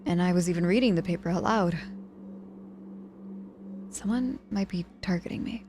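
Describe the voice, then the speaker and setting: Woman acting a low-pitched protective witch mentor, noisy background. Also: lightly acted. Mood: worried, protective. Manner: dry teasing turning apologetic and protective, hesitant pauses. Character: cocky but softening witch mentor, injured in hospital. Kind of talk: ASMR audio roleplay acting.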